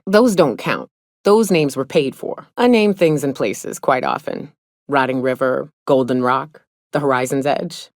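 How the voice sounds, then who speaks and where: A woman playing a tough, grieving, foul-mouthed huntswoman in a space frontier video game, speaking bluntly in gruff, folksy phrasing. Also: very deep voice, dry recording, studio quality. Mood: wry, reflective. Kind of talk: video game character dialogue.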